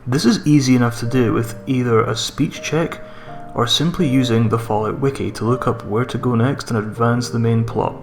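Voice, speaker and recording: A man narrating a scripted horror story in a measured, deliberate way, as a creepypasta narrator. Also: mid-range voice, steady tone. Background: background music.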